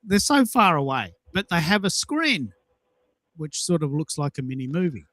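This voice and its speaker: A man's voice: on the high side, very animated, normal speed, pushed and bright, no echo. A man hosting a casual audio chat, telling personal anecdotes in an emphatic way and repeating himself for effect. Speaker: host of a social audio show.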